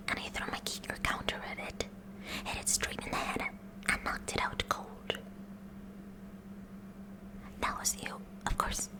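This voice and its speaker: A woman's voice: close to an everyday voice, low-quality recording. A woman playing a kooky, eager teenage science club president in a whispered ASMR roleplay, with nerdy formal wording and a nervous stammer.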